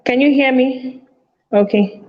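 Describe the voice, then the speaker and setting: Woman from Nigeria, relaxed advocate, roomy and muffled call audio. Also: high voice, measured pace. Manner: relaxed, with fillers. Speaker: food safety advocate. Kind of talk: casual self-introduction. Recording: dull, echoey call audio.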